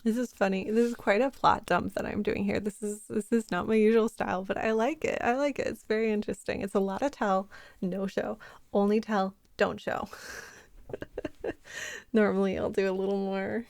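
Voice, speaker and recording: A woman speaking softly in an ASMR style, murmuring to herself as she works at a keyboard, at times dropping to a whisper. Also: mid-range voice, steady tone, moderate pace, creaky, dry recording. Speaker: ASMR roleplay creator and scriptwriter. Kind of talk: ASMR, quiet murmured aside while working.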